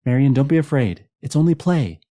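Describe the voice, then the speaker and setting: Male reader as a fearful woman, storybook horror, raised far above his own pitch, loud, urgent calling. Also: expressive, a little fast, close to an everyday voice. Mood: anxious coaxing. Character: frantic, frightened woman calling out in dread.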